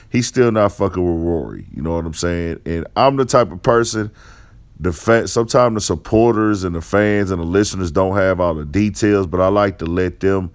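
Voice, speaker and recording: A man with a deep, resonant voice hosts a casual commentary show. He talks off the cuff in slangy, conversational phrases, as if chatting straight to his followers. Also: smooth. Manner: slangy, conversational, repeats "you know". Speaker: host of an online commentary show.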